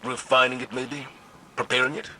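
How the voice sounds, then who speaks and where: A man playing a grave military leader in a video game, speaking in a formal, measured, authoritative way. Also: medium pitch, level tone, bright, forceful tone, slight background noise. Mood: thoughtful. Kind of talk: dramatic video game voice acting.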